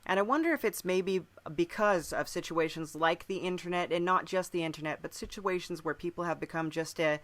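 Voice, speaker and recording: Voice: medium pitch, a bit bright. A podcast host in casual interview conversation, speaking thoughtfully with hesitant phrasing.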